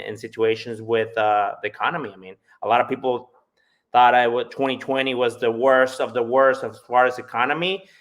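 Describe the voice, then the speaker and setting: A man's voice: mid-range voice, a bit bright. Male sales entrepreneur, native Spanish speaker with Spanish accent, emphatic casual podcast delivery. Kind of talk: casual live podcast talk.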